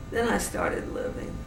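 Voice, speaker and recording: A woman in her eighties from New Orleans, with no regional accent, telling fond memories in an unhurried way with soft chuckles. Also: background noise. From New Orleans, USA. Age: 80s. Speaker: interviewee. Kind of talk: reminiscing family interview. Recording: roomy sound from a distance, music behind.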